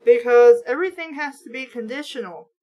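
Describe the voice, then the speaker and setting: A woman's voice: a little high, brisk, a little mellow. A woman reading a story aloud and breaking in with sarcastic, exasperated, sweary commentary as host of a mock book show.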